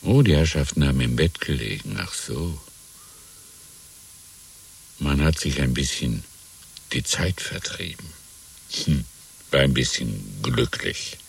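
An actor playing a sly old landlord and informer in a radio drama, husky and unctuous, with menacing mock politeness. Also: male voice, very deep voice, lively intonation, slow pace, pauses often, heavily acted. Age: older. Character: sly old landlord, secret thought-police informer, falsely courteous. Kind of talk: 1970s German radio drama acting.

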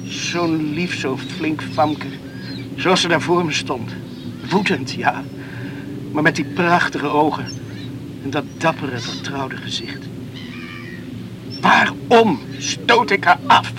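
An actor playing a torn, brooding young islander who talks to himself in agitated self-reproach in a radio play. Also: male voice, fairly high pitch, slow, long pauses, noisy background. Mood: brooding, tender.

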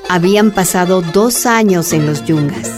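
A woman's voice: fairly low pitch, measured pace, natural voice. A woman performing scripted narration for a radio drama, with music behind her. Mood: measured, narrating. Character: woman narrator telling a miner woman's history. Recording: music under the voice.

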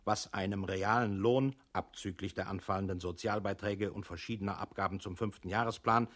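Deep-voiced officious male narrator. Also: fairly even intonation, fairly bright tone. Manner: formal, measured, officious. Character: dry bureaucratic male narrator reciting official details. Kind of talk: German radio play narration.

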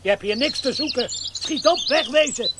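Very high-pitched, jeering hostile man. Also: expressive, moderate pace. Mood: scornful anger. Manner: taunting, commanding. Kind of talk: Dutch radio drama acting. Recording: outdoor scene with birdsong noise.

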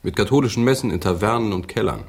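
A husky man's voice with a lively, wide melody, as a learned English gentleman in a radio play. He is formal, dry and proudly opinionated. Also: lowish voice, quick pace, exaggerated voice. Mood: dry, confiding. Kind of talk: literary radio drama acting.

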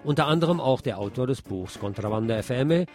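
A male radio presenter reads scripted magazine news in a mid-pitched voice. Guitar music plays behind him as he delivers the reports. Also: expressive, fast talker, darkish tone. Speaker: radio host and presenter, also an author.

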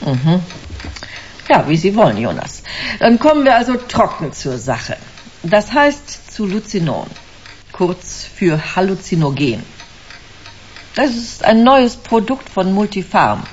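A woman's voice: medium pitch, sing-song, slow pace. An actress playing a smug, commanding company director in a German sci-fi radio drama, suave and theatrical, savouring her words. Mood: businesslike, smug. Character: smug, commanding female corporate director selling a drug. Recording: dull, hissy radio play recording.

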